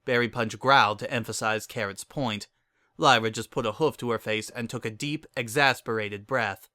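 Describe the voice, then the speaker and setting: A man reads a story aloud as narrator, with dramatic delivery. His mid-range voice is bright and forceful, captured close and dry. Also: mid-range voice, moderate pace, dry recording.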